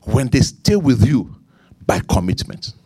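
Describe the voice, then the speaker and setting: A middle-aged man with a Nigerian accent preaching a sermon, repeating key lines, leaning into emphatic points and then dropping low for quiet asides. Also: sing-song, measured pace, breathy edge, no echo. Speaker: pastor.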